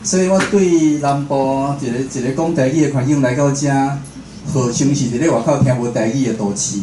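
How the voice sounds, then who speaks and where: A man's voice: medium pitch, fairly quick. A man with a southern Taiwanese accent, a radio presenter giving an informal talk as guest speaker. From Chiayi, southern Taiwan. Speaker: guest speaker, radio station head and programme host. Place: indoor room before a live audience. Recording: echoey room with clear background noise.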